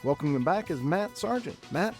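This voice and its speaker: A man's voice: fairly high pitch, lively intonation, normal speed, darkish tone, faint music behind. A man hosting a film podcast, reading an upbeat scripted intro, then chatting casually with his co-host. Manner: upbeat, reads from notes.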